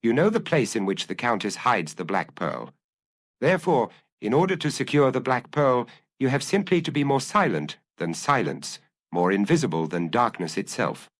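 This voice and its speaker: Suave gentleman thief for a detective audiobook, urbane, self-satisfied, swinging pitch; male narrator acting it out. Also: animated, normal speed, natural voice. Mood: self-congratulating. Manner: urbane, drily amused, self-congratulating. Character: suave, self-assured gentleman burglar musing to himself.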